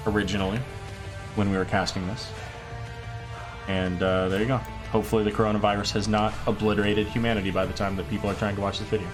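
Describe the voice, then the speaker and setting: A man casting an esports match alone, calling plays quickly and then chatting loosely, his voice tired and a little raspy late at night. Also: lowish voice. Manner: quick excited calls, then loose rambling sign-off. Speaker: esports caster. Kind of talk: solo esports play-by-play commentary.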